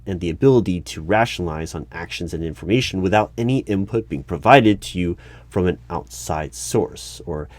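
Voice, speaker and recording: A man playing a methodical, puzzled hiring manager in a one-sided interview roleplay, halting as he asks careful clarifying questions. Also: low voice, a little mellow, no room sound, natural voice. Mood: careful, confused. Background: computer keyboard typing and clicks.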